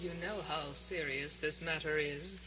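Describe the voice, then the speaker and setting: A woman's voice: sits low, steady tone, unhurried, over loud music, low-quality recording. An actress playing an imperious, bloodthirsty noblewoman in a radio drama, speaking with icy, commanding, precise theatrical diction. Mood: cold threatening. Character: imperious, bloodthirsty lady plotting to be queen. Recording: old radio broadcast, faint hum.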